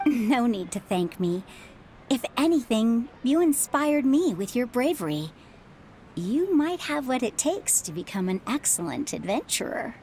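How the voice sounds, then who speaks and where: Casting: seasoned motherly adventurer in a game, slightly high, expressive, calmly reassuring. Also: measured pace, a little hiss or hum. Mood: encouraging. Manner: calm, reassuring, philosophical. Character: reassuring middle-aged widowed mother, former adventurer. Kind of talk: video game voice acting.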